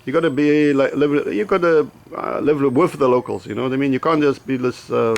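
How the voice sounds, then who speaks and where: A man with a gravelly, mid-range voice runs a casual interview. He asks loose questions and swears freely in a blunt, offhand way. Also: mid-range voice, brisk. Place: bar or club.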